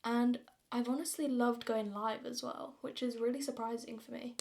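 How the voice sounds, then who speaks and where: A young woman of nineteen from London speaks with a southern English accent in a fairly high voice. She talks to her viewers like a vlogger, informal and chatty, with a self-deprecating way about her. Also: fairly even intonation, normal speed, gravelly, a little mellow. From London, England. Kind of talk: casual get-ready-with-me chat to viewers.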